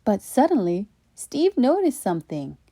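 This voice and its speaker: Woman reading a children's story, very animated pitch. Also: moderate pace, no room sound. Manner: expressive, playful asides to young listeners. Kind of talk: animated storybook read-aloud.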